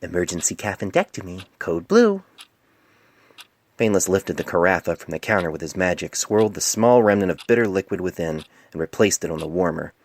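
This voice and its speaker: A man's voice: low-pitched, fast, few pauses. A man reading scripted fan fiction narration as an amateur storyteller, recorded on a cell phone microphone. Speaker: author narrating own story.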